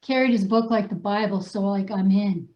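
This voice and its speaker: A woman's voice: mid-range voice, normal speed. A woman from New Hampshire hosting, chatty and informal, with casual slangy phrasing. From New Hampshire, United States. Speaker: host and co-author. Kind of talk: casual unscripted group chat, reading aloud from a personal story. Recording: dull, echoey room sound.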